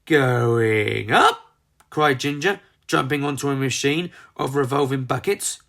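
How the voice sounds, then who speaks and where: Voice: mid-range voice, moderate pace, a bit bright. A storyteller narrating a children's book in a theatrical, emphatic manner. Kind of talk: dramatised storybook narration with character voices.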